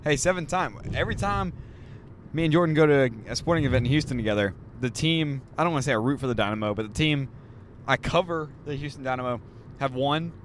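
A man's voice: mid-range voice, fast talker. A man hosting a casual podcast, rambling and joking, recorded on a handheld mic in a moving car. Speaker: podcast host. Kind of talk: casual chat. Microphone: handheld mic passed around. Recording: road noise from a moving car.

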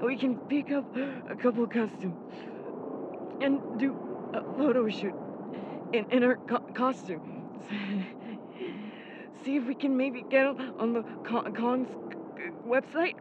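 An actress in an audio drama playing an injured young anime fan, brave and affectionate, who stammers weakly through pain. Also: female voice, a little high. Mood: wistful, playful. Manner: halting, stammering, weak and pained speech. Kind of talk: naturalistic audio drama acting. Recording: muffled, loud vehicle noise. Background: vehicle driving noise.

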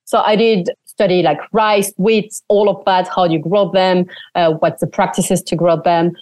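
A woman's voice: on the low side, measured pace, no room sound. Young french woman chatting away, lots of "like", dry mic. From France. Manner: animated, frequent "like" fillers, laughs often. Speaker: guest. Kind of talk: casual interview chat.

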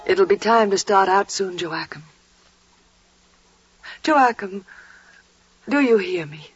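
Slow, husky, devout older wife. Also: female voice, mid-range voice, lively intonation, faint music behind, full character voice. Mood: solemn. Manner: reverent, slow, deliberate. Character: devout older biblical wife, expectant mother, quietly joyful. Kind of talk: 1940s radio drama acting. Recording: muffled, dry vintage 1940s radio recording.

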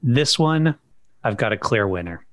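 A man's voice: medium pitch, lively intonation, unhurried, no echo. Man with a dry, close podcast sound. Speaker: podcast co-host. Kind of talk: casual debate chat.

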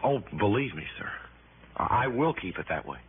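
An actor playing a contrite young ex-convict husband in a 1960s radio drama, stammering, deferential and pleading. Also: male voice, fairly low pitch, very animated, normal speed, a crowd in the background. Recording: old tinny, hissy radio recording.

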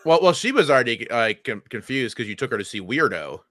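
Bright-voiced man chatting in a dry room. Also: medium pitch, very animated, a little fast, dry recording. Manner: stammering, repeats words, swears casually. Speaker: comedian and podcast co-host. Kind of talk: casual chat.

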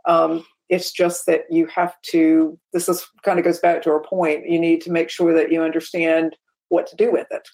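A woman's voice: low voice, level tone, normal speed, darkish tone. A woman co-hosting an aviation talk show, explaining points in a casual but emphatic way. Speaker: podcast co-host.

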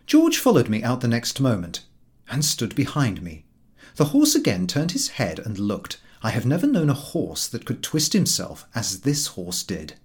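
Expressive male storyteller with a husky edge. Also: mid-range voice, moderate pace. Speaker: audiobook narrator. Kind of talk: scripted narration of a humorous literary story.

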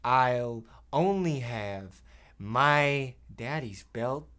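A man playing an earnest, excitable boy sidekick with gee-whiz folksiness in an old-time radio parody. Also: medium pitch, very slow, a little hiss or hum. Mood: folksy, relieved.

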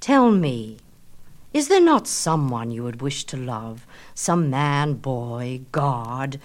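A grand and indulgent goddess of love, played by a female narrator. Her voice sits low, and she coaxes theatrically, like a gossip at ease. It is made for a storybook of myths. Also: very animated, takes it slowly, heavily acted. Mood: coaxing, amused.

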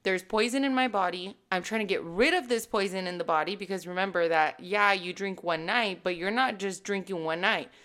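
A woman in her thirties, a fitness coach hosting her own podcast, talking to listeners in a casual, emphatic and animated way. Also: medium pitch, a little fast, hardly any pauses. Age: 30s. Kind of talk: casual solo podcast talk.

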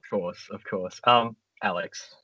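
A man's voice: lowish voice, measured pace, bright, forceful tone, no room sound. A man co-hosting a comedy podcast, rambling in a mock-earnest way. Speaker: podcast co-host, contestant. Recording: dry lo-fi podcast audio.

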